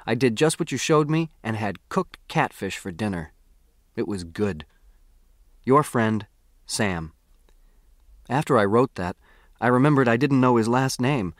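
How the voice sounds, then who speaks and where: A man's voice: lowish voice, no echo, clean studio sound. A man with an American accent narrates a first-person story expressively, like a boy telling his own adventure in a conversational way. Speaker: audiobook narrator.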